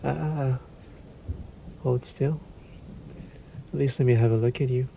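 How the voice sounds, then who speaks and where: A man voicing a suave, predatory male vampire in an audio roleplay, with a courtly, teasing, drawn-out delivery. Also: mid-range voice, fairly even intonation, creaky, mellow, slight background noise, rough recording. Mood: seductive, teasing.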